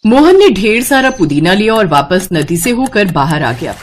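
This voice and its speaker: A woman reads a moral tale as its narrator. Her medium-pitched voice moves with very lively intonation, over faint background noise. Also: normal speed. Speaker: story narrator. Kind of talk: expressive scripted storybook narration.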